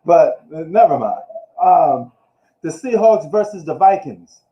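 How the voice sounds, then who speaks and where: A man's voice: a little high, lively intonation, a little slow. A man from New York giving a casual, slangy live recap of the football week, ranting loosely as he goes. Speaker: sports streamer. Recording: echoey room, dull lo-fi live stream.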